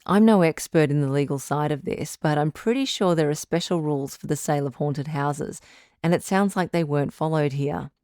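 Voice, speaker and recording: Phone-in advice host, played by a woman: patient, reassuring woman doctor, low-pitched, dry studio sound. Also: mellow, no room sound, natural voice. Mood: reassuring, practical. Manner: measured, tactful, reassuring. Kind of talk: audio drama phone-in advice.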